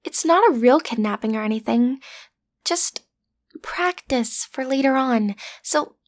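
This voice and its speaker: High-voiced cute teenage villain girl, acted by a woman. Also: lively intonation, a little slow. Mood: proud, explaining. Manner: theatrical, sassy, hesitant when breaking character. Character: cute teenage girl, amateur supervillain-in-training, playfully menacing but awkward. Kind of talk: roleplay audio drama, addressed to the listener.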